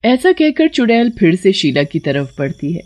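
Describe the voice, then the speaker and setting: A woman's voice: medium pitch, sing-song, normal speed, darkish tone. A woman storyteller reading scripted horror narration.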